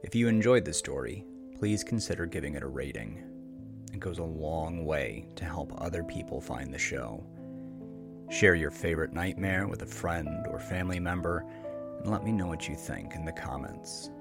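A man's voice: very deep voice, animated, moderate pace, vocal fry. A man hosting a horror podcast, speaking in a scripted sign-off style. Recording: music under the voice.

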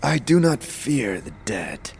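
A man's voice: medium pitch, very animated, slow, gravelly, darkish tone, slight background noise, heavily acted, poor audio. A man playing a stoic warrior companion, grave and formal, in an audio drama. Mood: solemn. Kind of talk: audio drama character acting.

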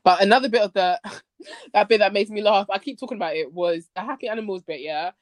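A woman hosting a casual podcast chat about a TV series, expressive and slangy. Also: medium pitch, brisk, dry recording, studio quality. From Nigerian background. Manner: expressive, slangy, acts out quoted lines. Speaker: podcast host.

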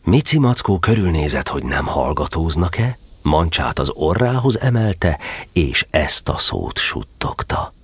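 Deep, husky male storyteller. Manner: expressive storytelling. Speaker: narrator. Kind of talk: scripted children's storybook narration.

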